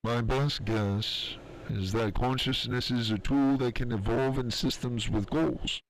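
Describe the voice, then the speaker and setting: A man acting as a thoughtful, philosophical scientist in an audio drama, speaking in a measured, explanatory, reflective way. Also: medium pitch, steady tone, a little hiss or hum, lightly acted, rough recording.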